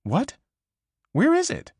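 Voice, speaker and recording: Audiobook: man doing a high, swooping, pushy young woman, close and dry. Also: huge pitch swings, gravelly, breathy edge, no room sound, full character voice. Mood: teasing. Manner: quick, emphatic, swearing freely. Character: brash, excitable young woman, pushy and gossipy. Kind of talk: audiobook character voice.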